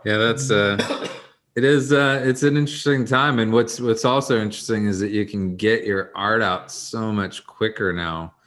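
A man with a somewhat low voice speaks in a halting way, pausing on fillers. He talks casually, working his ideas out as he goes. The delivery is loose and unpolished. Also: steady tone, fairly bright tone. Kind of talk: casual podcast chat.

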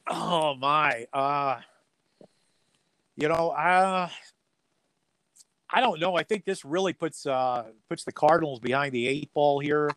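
A man's voice: fairly high pitch, takes it slowly, pauses often, fairly bright tone, no room sound. Folksy man chatting casually about sports. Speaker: radio sports play-by-play announcer as interview guest.

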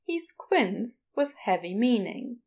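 A woman's voice: a little high, big pitch swings, takes it slowly, a little mellow. A woman narrating a scripted story in an audiobook style.

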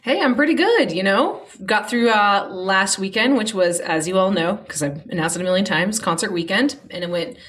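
A woman's voice: medium pitch, lively intonation, normal speed, few pauses, a bit bright. A woman co-hosting a podcast, upbeat and chatty. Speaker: podcast co-host. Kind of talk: casual podcast chat.

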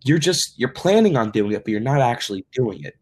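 A man's voice: medium pitch, very animated, fairly quick. Rambling young man, podcast host. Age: young adult. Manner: rambling, many "like" fillers. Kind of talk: casual chat.

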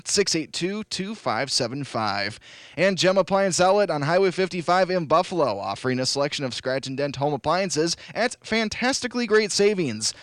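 A man hosting a local radio call-in show, his voice hoarse and raspy from losing it, upbeat and repeating phone numbers slowly. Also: fairly high pitch, a bit bright, dry recording, studio quality. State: hoarse, lost voice. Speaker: radio host. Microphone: studio broadcast mic.

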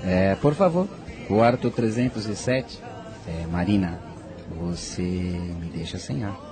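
A man's voice: low-pitched, slow pace, music in the background, a crowd in the background. An actor playing a conflicted married man, romantic yet anxious, in a Brazilian radio soap with a Brazilian accent. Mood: tender, flirting.

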